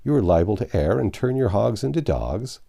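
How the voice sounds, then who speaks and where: Man playing a wry, confident hero, lively pitch. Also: moderate pace, vocal fry, heavily acted. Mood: patiently explaining. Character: confident, wry American man humoring a damsel.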